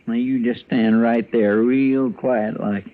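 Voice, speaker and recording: A man's voice: medium pitch, steady tone, takes it slowly, lightly acted. Tinny-sounding folksy frontier deputy played by a man. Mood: firm, folksy. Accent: American, rural Western drawl. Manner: folksy, deferential, stammering when rattled. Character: folksy, loyal, easily rattled marshal's deputy. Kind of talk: old time radio western acting. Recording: tinny, noisy old radio recording.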